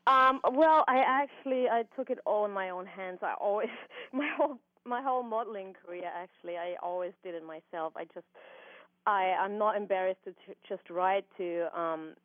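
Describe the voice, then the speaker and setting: A woman's voice: mid-range voice, normal speed, no room sound. A young woman with an Austrian accent talks with a giggle that keeps breaking through. She fills her thoughts with ums. Her voice comes through a tinny, dry phone line. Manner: giggly, laughs often, frequent ums. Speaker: guest. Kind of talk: casual phone interview.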